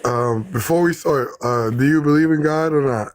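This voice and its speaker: A man's voice: a little high, moderate pace, dry recording. A man in his twenties calling in to a debate stream, polite and easygoing. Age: 20s. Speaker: caller. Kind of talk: casual call-in chat.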